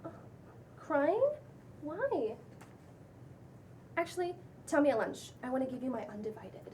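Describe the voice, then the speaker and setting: A woman's voice: high-pitched, animated, unhurried, a little mellow. A woman playing a trendy, breezy young Los Angeles woman with an affected manner in a table read of a pilot script. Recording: distant microphone with faint room noise.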